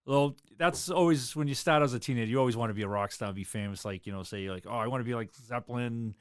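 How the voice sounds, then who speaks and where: Guy rambling about music, smooth voice, dry close sound. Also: mid-range voice, quick pace, no room sound. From South Boston, USA. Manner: rambling, loose, with many fillers and restarts. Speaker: drummer and guest. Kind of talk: casual interview chat.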